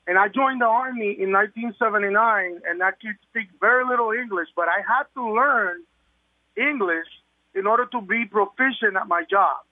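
A man's voice: high-pitched, moderate pace, no echo. Older man on a phone line. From Puerto Rico. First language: Spanish. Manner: earnest, repeats words when pressing a point. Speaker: caller. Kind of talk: talk radio call. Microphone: telephone.

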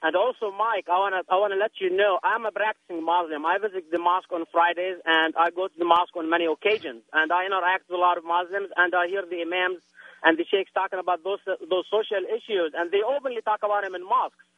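A man's voice: sits high, steady tone, fast. A man from Palestine, calling in to a radio show, earnest and insistent, often repeating himself. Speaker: caller. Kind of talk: phone-in call. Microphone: telephone. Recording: tinny phone line.

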